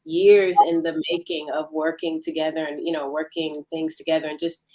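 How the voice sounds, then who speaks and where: Lower-voiced woman chatting as a guest. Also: little pitch movement, normal speed, warm tone, rough recording. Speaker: interview guest, cast member. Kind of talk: casual conversation.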